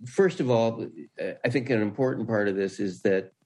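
A man's voice: lowish voice, normal speed, dark tone. An older man, a writer being interviewed, talking casually and anecdotally. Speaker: interview guest, screenwriter.

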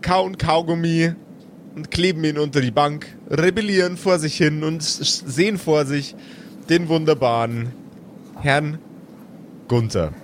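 A man's voice: fairly high pitch, unhurried, a little hiss or hum. A man narrating an improvised tabletop role-playing game as game master, with playful, theatrical phrasing.

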